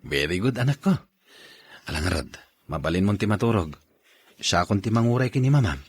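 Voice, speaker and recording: A man playing a devoted husband and father in a radio drama, earnest and gentle, with a deep husky voice and an Ilocano accent. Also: animated, fairly quick.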